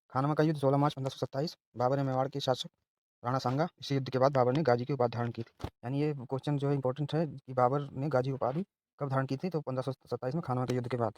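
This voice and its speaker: A male teacher races through revision material at great speed. His voice sits at an average pitch. He rattles off terse factual lists, adding short explanatory asides as he goes. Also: darkish tone, a little hiss or hum. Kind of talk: exam revision lesson, reading out facts.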